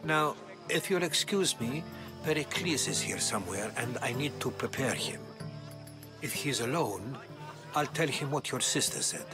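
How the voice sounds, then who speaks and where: A man's voice: mid-range voice, animated, natural voice. Male actor as genial Greek scholar, cautious, polite, varied melody, game cutscene, music underneath. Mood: businesslike. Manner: polite, measured, gently wry. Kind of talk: video game cutscene acting.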